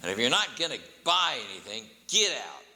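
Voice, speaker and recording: A man voicing a gruff, impatient shopkeeper who talks bluntly and mockingly. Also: medium pitch, very animated, a little fast, pushed and bright, exaggerated voice. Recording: long hall reverb, faint hum.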